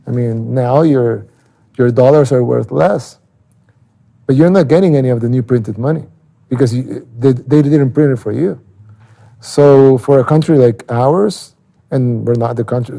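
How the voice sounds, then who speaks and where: A man from El Salvador with a Salvadoran Spanish accent, explaining things casually in an interview and haltingly repeating words as he thinks. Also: medium pitch, creaky, dark tone.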